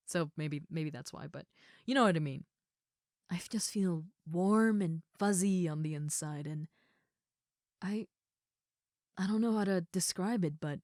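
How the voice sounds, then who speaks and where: A gentle giant of an ogre girl voiced by a woman, speaking in a slightly low voice, very close and dry. She is tender and sincere, pausing as she searches for words and often dropping into breathy softness, as in a comfort roleplay. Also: no echo, close to an everyday voice. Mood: tender, hesitant. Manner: soft, breathy asides, halting, tender, sincere. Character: gentle, affectionate giant female ogre roommate, shyly confessing. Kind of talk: intimate comfort roleplay audio.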